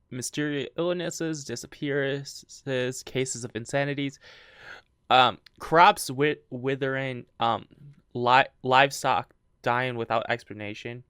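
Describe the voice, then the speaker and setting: A woman's voice: rumbling low, fairly bright tone, smooth, dry recording, clean studio sound. A woman hosting a true crime podcast, telling the story in a casual chat, giggling often and stumbling over her words.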